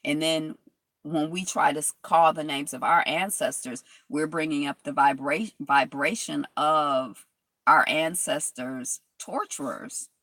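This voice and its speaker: A woman vlogger reads from a text and drifts into reflective, rambling asides. Her voice is gravelly and bright. It sits fairly low for a woman, in a dry, close-sounding space. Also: measured pace, no echo. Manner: rambling, reflective, with many fillers and restarts. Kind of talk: reading from a history text and commenting aloud.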